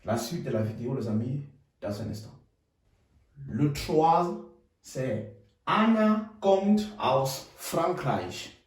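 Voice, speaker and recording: A man from Cameroon teaching German in French, repeating phrases slowly and adding checking tags like "hmm?". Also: mid-range voice, expressive, heavy reverb, recorded from a distance. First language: Ngamba. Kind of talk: bilingual language lesson.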